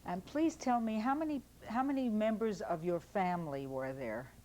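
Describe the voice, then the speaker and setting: Measured, polite woman interviewer with a warm, mellow tone. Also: mid-range voice. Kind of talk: formal interview questions.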